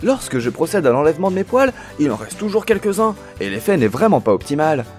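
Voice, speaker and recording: A man's voice: on the high side, big pitch swings, fast talker, faint music behind, pitched above the performer's own voice. A man playing a naive, eager sidekick with exaggerated earnest ad-speak in a parody radio advert.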